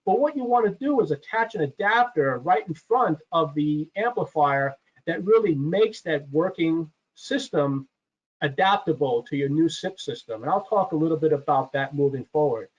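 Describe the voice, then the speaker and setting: Higher-voiced man presenting, dull lo-fi audio. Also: slightly rough. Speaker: webinar presenter. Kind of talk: product webinar presentation.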